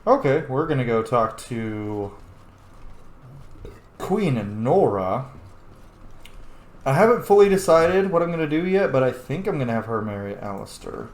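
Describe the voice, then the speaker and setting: A man's voice: mid-range voice, very animated, unhurried, pauses often, a little mellow, roomy sound. A man giving casual, musing commentary as he plays and role-plays through a video game. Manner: informal, musing.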